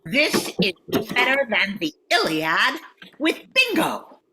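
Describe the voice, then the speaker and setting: An older woman playing a highbrow, myth-loving former English teacher with a dramatic manner in an amateur radio comedy. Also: huge pitch swings, slow, heavy background noise, exaggerated voice. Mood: lofty, droll.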